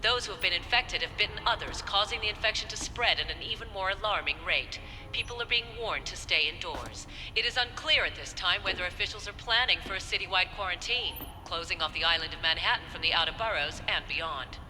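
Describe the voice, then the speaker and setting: An actress with an American accent playing a composed, serious TV news reporter in a video game, speaking formally. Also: female voice, fairly low pitch, sharp tone, a little hiss or hum. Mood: grave reporting. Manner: formal, measured. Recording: long reverb, faint music behind.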